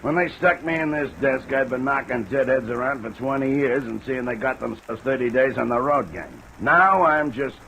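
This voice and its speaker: An actor playing an aging, gruff railroad detective in a radio drama, with a gravelly voice, blunt folksy manner and thick railroad slang. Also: male voice, medium pitch, moderate pace, hardly any pauses, fairly bright tone. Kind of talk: old-time radio drama acting. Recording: noisy, hissy old radio recording.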